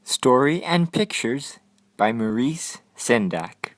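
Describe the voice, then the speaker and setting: A man reading a children's picture book aloud as an expressive storyteller, with deliberate, dramatic phrasing and pauses. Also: medium pitch, a little hiss or hum.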